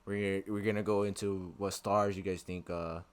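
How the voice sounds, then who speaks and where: Low-voiced young man, masked and rambling. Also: little pitch movement, moderate pace, clean tone. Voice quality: speaking from behind a face mask. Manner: laughs often, rambling and joking. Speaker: podcast co-host. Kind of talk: casual chat.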